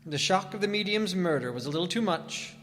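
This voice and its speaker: An actor playing a formal, outwardly timid husband who is a menacing killer in an old-time radio drama, prim and stiffly polite. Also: male voice, high-pitched, expressive, fairly quick, a bit bright, faint music behind. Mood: indignant, guarded. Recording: reverberant hall.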